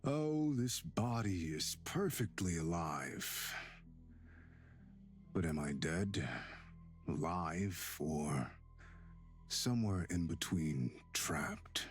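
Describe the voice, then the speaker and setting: A man voicing a bitter, mocking centuries-old demon in a young man's body, with theatrical drawn-out delivery, for a visual novel game. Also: deep voice, animated, heavily acted. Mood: bitter, brooding. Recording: music behind the voice.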